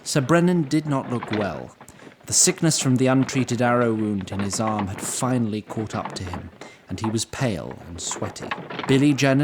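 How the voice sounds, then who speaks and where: A man narrating a fantasy audio drama in a deliberate, solemn, scripted storytelling style. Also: mid-range voice, noisy background. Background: music.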